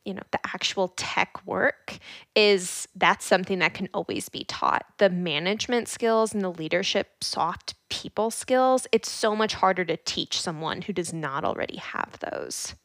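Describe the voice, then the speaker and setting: A woman's voice: on the low side, a little slow, fairly bright tone, no echo. Affirming woman interviewer, dry sound. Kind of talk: casual interview.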